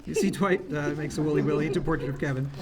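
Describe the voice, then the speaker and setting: A man's voice: fairly high pitch, racing, crowd noise. A man reading stage directions aloud as scripted narration at a live table read. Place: live table read in a room with people laughing. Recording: noisy live room recording.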